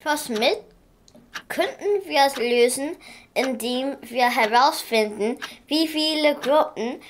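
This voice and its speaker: Casting: woman as a slow-spoken, earnest schoolgirl, a little high, soft music behind. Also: slight background noise. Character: schoolgirl, earnest and creative, a bit unsure. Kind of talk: children's audio drama.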